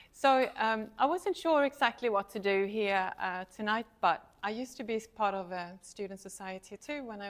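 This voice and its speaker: A woman's voice: on the low side, slight background noise. A middle-aged woman with a Swedish accent, a university lecturer giving a casual, self-deprecating talk to a student society and laughing often. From Sweden. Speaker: university lecturer, guest speaker.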